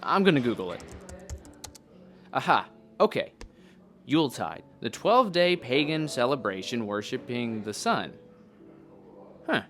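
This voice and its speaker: An actor playing an easygoing, curious former youth pastor in casual, naturalistic audio drama dialogue. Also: male voice, mid-range voice, big pitch swings, unhurried, pauses often, fairly bright tone, soft background music. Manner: casual, chatty.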